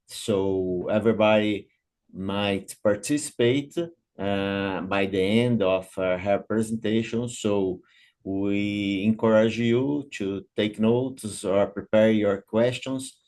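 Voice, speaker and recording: Slow, halting low-voiced Brazilian man. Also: steady tone, a little mellow. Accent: Brazilian Portuguese. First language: Portuguese. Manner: halting, many filler pauses. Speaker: event host and committee coordinator. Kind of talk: opening remarks at an online business event.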